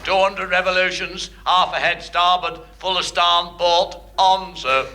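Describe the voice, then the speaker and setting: A man's voice: high voice, steady tone, a little slow. An actor playing a formal naval helmsman repeating orders in a radio sitcom, on a very noisy old recording. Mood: dutiful. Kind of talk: British radio sitcom acting.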